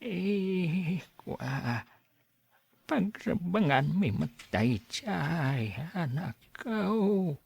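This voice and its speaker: A woman playing a frail, kindly elderly father in an audio drama, with a raspy, halting delivery. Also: lowish voice, sing-song, a little mellow, dry recording, heavily acted. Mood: gentle, weary. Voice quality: raspy, creaky. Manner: halting, slow. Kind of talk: radio drama acting.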